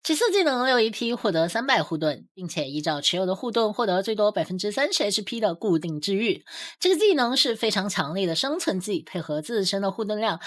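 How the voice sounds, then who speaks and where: A woman reading scripted commentary for a game guide video as its host. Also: medium pitch.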